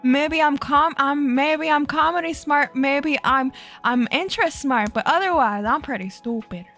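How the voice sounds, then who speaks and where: Giggly high-voiced young woman streamer. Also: a little slow, a bit bright, soft background music. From Florida. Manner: giggly, bubbly, self-deprecating, easily distracted. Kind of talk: casual live-stream chat with viewers.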